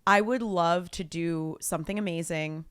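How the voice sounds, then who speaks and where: A woman's voice: fairly low pitch, moderate pace, fairly bright tone, clear, resonant tone, dry recording, studio quality. A woman comedian chatting casually as a podcast guest, often breaking off and restarting her thoughts.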